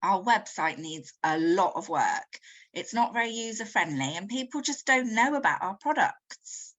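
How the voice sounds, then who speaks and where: A woman's voice: medium pitch, animated, normal speed, fairly bright tone, poor audio. A woman playing a keen young marketing intern in an amateur comic story. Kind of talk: amateur comic audio drama.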